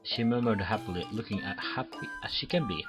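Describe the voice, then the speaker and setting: A man's voice: on the low side, brisk. A man reading visual novel text aloud as a let's play host, often stumbling over words and restarting. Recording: clear game music behind voice. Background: game music.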